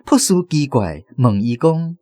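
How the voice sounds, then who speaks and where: A man's voice: mid-range voice, big pitch swings, measured pace, dark tone, dry recording, studio quality. A man with a Taiwanese accent narrating a radio drama as a storyteller.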